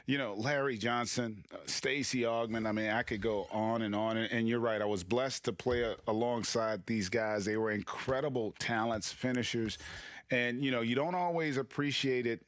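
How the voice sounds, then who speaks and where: A man's voice: mid-range voice, normal speed, dry recording. A man with a mid-range voice offering color commentary. He talks in an easy, conversational way, like an ex-player breaking down the game for a video game broadcast. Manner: relaxed, conversational analysis. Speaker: color analyst, former point guard.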